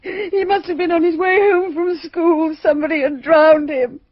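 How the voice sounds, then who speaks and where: An actress playing an agitated, guilt-stricken society woman in a radio drama, halting and confessional. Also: very high-pitched, steady tone, dry recording. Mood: distraught, tearful. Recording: dull, boxy radio drama recording.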